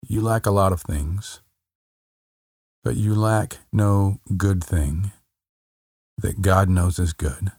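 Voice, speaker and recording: Casting: man with a very low voice, unhurried, gentle meditation guide, dry close sound. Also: slightly rough, no echo. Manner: slow, soft, calm, repeats phrases with long pauses.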